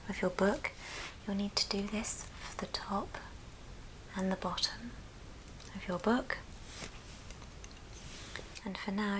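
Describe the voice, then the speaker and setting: A woman whispering a gentle, breathy, step-by-step ASMR craft tutorial. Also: mid-range voice. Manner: slow, gentle step-by-step instruction. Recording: noisy background, handling clicks.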